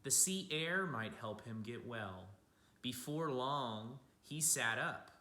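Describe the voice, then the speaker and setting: A man giving an expressive read-aloud of a picture book for children, like a teacher speaking to young listeners. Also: medium pitch, slow. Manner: addresses young listeners with simple asides and questions. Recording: roomy, echoing room sound.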